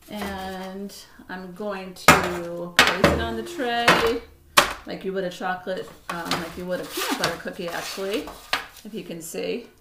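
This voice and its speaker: A middle-aged woman giving a relaxed, chatty cooking demonstration in her home kitchen, talking through each step as she mixes. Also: mid-range voice, very noisy. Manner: relaxed, chatty step-by-step instructions while mixing. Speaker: cooking instructor. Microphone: fixed camera across the counter. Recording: echoey kitchen with clattering dishes.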